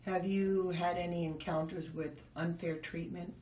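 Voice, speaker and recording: A woman's voice: on the low side, level tone, unhurried, a little mellow. A woman hosting a casual interview, asking curious, chatty questions. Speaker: host. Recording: echoey, from a distance, faint noise.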